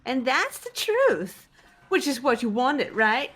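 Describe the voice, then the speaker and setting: A woman's voice: high voice, very animated, slow pace, a bit bright, faint music behind, heavily acted. A woman voicing a haughty, gloating young female mastermind in a fan-dubbed video game, grandiose and mocking. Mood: taunting.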